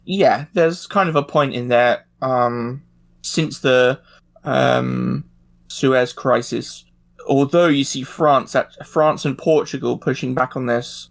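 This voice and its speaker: A man in a casual panel discussion, speaking informally and haltingly with pauses mid-phrase. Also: mid-range voice, vocal fry.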